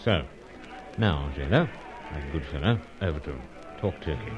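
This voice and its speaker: A man's voice: a bass voice, lively intonation, moderate pace. A man playing an unflappable secret agent in a spy audio drama, with clipped phrasing and breezy, pompous bravado. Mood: confident, brisk. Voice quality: clipped, drops words from sentences. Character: unflappable stiff-upper-lip British gentleman secret agent. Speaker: lead character and first-person narrator. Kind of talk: tongue-in-cheek spy adventure audio drama. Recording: dull lo-fi audio, faint music.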